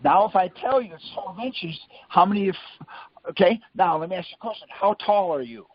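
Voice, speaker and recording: A man's voice: sits high, lively intonation, brisk, slightly rough, dry recording. A man coaching by question and answer, insistently quizzing his listener in a Socratic way on a conference call. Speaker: teacher leading a group conference call. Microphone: conference phone call. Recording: muffled, lo-fi conference call audio.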